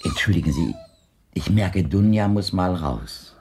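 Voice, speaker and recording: An actor playing a courteous, wistful blind widower in a German radio play, speaking in florid, halting, ceremonious phrases. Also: male voice, low voice, expressive, a little mellow, slight background noise. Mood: polite, effusive. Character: blind widowed older man, courteous, wistful, insinuating visitor.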